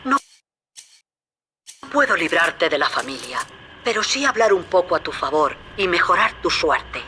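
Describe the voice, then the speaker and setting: A woman's voice: fairly low pitch, sing-song, a little slow, noisy background. An actress playing a proud, bitter old woman in a video game, grave and dramatic. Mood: astonished, softening. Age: older.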